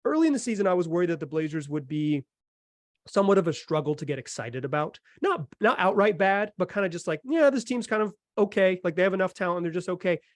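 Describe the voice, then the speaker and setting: A man's voice: high voice, lively intonation, fast talker, no room sound, studio quality. A man hosting a podcast, chatting casually and enthusiastically about sports with emphatic delivery. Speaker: podcast host.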